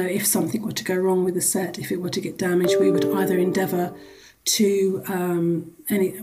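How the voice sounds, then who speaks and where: A woman's voice: medium pitch, darkish tone, poor audio. A woman being interviewed as a charity representative, explaining things conversationally and often circling back over her phrases. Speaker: charity executive, interview guest.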